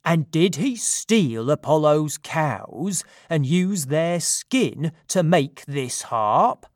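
A big, dopey satyr leader out of a storybook myth, as a reader puts him on, booming and dragging out his words in a lively, up-and-down voice. the sound is dry and close. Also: drawn-out words, no echo. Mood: coaxing. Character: fat, dim-witted male satyr leader.

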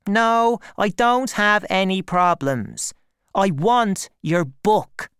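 A woman's voice: mid-range voice, animated, slow, fairly bright tone, clean tone, no echo, clean studio sound, pitched above the performer's own voice. A woman voicing a confident, cunning boy in a storybook, speaking in an assured, commanding way.

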